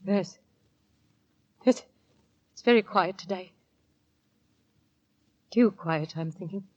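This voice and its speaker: A woman's voice: mid-range voice, slow pace, a little mellow, lo-fi sound. A woman playing an anxious, deferential middle-aged landlady and mother in a 1940s radio drama, nervous, hesitant and placating. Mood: fearful. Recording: vintage 1940s radio broadcast.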